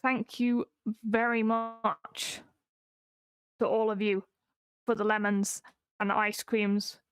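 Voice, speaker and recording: A woman's voice: mid-range voice, level tone, takes it slowly. A woman co-hosting a storytelling stream, casually thanking viewers and chatting. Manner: friendly, reads out viewer names. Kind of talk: casual chat.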